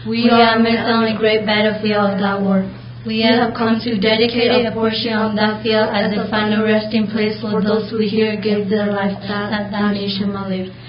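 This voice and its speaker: A young girl from Burma reciting a memorized speech to a meeting, in a steady, even way. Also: mid-range voice, normal speed, few pauses, a crowd in the background. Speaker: middle school student. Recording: echoey room, dull audio.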